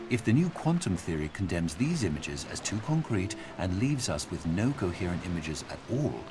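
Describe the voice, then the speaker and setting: A man acting as a thoughtful reader of philosophy in a game audio log, deliberate and carefully articulated, in a measured literary style. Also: low-pitched, noisy background. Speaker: audio log narrator reading a philosophical passage. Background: outdoor game ambience.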